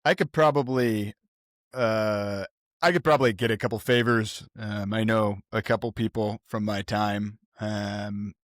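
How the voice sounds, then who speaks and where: Male gamer, deeper side, chatty and tentative, thinking out loud, close dry recording. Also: steady tone, a little slow, dry recording. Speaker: tabletop game player. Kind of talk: casual tabletop role-play chat.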